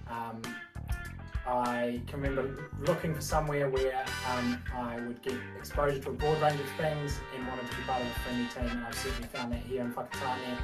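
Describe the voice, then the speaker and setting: A woman, a first-year junior doctor, earnestly encouraging applicants. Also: rumbling low. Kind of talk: promo self-introduction. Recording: echoey, distant mic with music.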